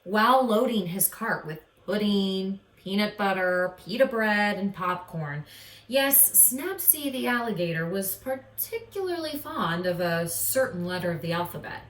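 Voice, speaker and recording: A woman narrating a children's storybook in an expressive, theatrical way, drawing out the suspense. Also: mid-range voice, a little slow. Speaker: storybook reader. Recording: echoey room, distant from mic.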